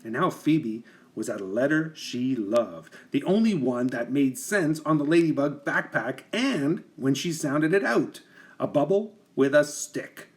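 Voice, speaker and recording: Animated man reading a children's storybook. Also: medium pitch. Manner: animated, deliberate emphasis for young listeners. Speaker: teacher reading a picture book aloud. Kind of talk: expressive children's storybook narration.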